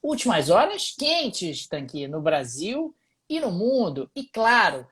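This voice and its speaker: A man with a husky, slightly grainy voice co-hosts a relaxed live podcast. He spins stories with lively energy, breaking into laughter as he goes. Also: sits high, slow. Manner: animated, laughing storyteller. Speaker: podcast co-host. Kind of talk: casual live-stream chat. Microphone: streaming live on social media.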